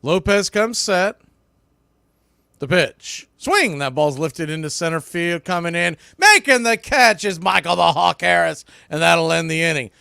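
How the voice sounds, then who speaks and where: A man's voice: on the high side, unhurried, gravelly, bright, forceful tone, clean tone, no echo, clean studio sound. A man calling baseball play-by-play live in radio style, with clipped calls that swell on the action.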